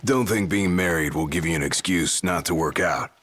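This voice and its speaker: Deep, blunt game bodyguard. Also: male voice, moderate pace, a bit bright, a little hiss or hum. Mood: gruff, skeptical. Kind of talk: video game cutscene acting.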